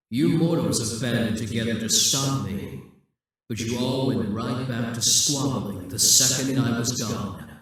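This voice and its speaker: Man as a slow, echoing, imperious demon king. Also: medium pitch, close to an everyday voice. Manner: slow, deliberate, condescending, grandiose. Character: possessive, brooding demon king risen from death, seductive and imperious. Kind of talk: scripted audio roleplay monologue. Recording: echoing, reverberant, distant from the mic.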